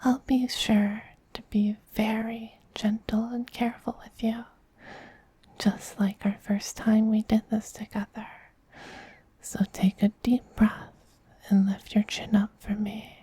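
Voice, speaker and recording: A man voicing a tender, dominant, effeminate vampire queen who soothes a beloved pet in a breathy, soft-spoken ASMR roleplay whisper. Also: sits high, little pitch movement, dry recording, exaggerated voice, poor audio. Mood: gentle, coaxing. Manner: slow, soothing, doting.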